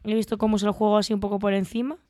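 A woman's voice: medium pitch, flat delivery, very fast, mellow, no room sound, studio quality. A woman with a Spanish accent from Spain, co-hosting a board game podcast in a playful, teasing way. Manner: playful and teasing, laughs between lines. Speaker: podcast co-host. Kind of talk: casual board game news chat.

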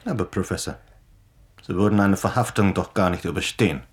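A man's voice: low-pitched, brisk, close to an everyday voice. An actor playing a young male newspaper reporter in a radio play, asking pointed, insistent questions. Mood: probing. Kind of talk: German radio play acting.

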